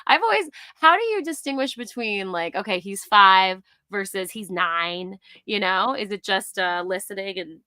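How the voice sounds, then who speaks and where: A woman hosts a casual interview with a bright, crisp-edged voice at medium pitch. She sounds enthusiastic and encouraging, in a very dry recording. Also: animated, moderate pace, few pauses, no room sound. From Buffalo, United States.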